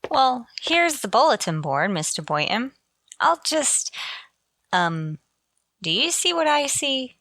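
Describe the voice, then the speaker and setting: A woman plays a wry, quick-witted schoolteacher in a radio sitcom. Her voice is bright and animated, recorded close and dry. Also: high-pitched, dry recording, heavily acted. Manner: wry, deferential sarcasm. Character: wry, quick-witted female high school English teacher. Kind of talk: old-time radio sitcom acting.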